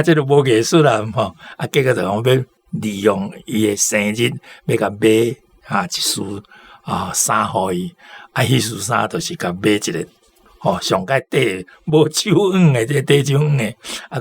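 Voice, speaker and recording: A man offers casual literary commentary on a radio show. His voice is on the low side and gravelly, and he talks in a chatty, informal way. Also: moderate pace. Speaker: radio programme speaker.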